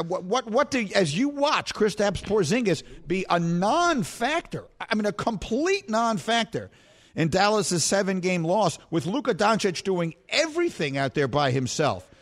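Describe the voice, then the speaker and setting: Animated middle-aged sports talk host man. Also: high-pitched. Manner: animated storytelling, laughs at himself.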